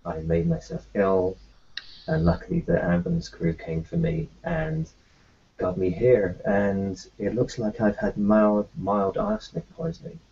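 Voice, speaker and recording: A man roleplaying his character in a tabletop game, talking in a halting way full of fillers and restarts. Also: lowish voice, expressive, vocal fry, mellow, slight background noise. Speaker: player voicing his doctor character. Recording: dull lo-fi recording.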